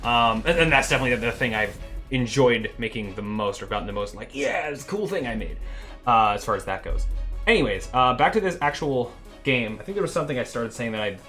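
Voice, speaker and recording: A man's voice: mid-range voice, animated, fast talker, sharp tone. A young man from Canada streaming his game development, chatting casually, rambling and laughing as he loses his train of thought. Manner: rambling, chatty, laughs often, trails off and restarts. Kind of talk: rambling casual livestream chat. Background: faint music.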